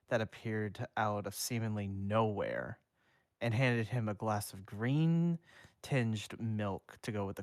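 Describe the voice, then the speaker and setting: A man reads fan fiction aloud on a stream, his lowish voice moving slowly and evenly. He stumbles now and then and restarts a word. The sound is dry and close. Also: no echo. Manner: slow, even reading with small slips. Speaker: fanfic reader and streamer.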